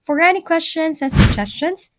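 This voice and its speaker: A woman's voice: very high voice, animated, moderate pace, slight background noise. A woman hosting a tech tutorial, reading scripted narration.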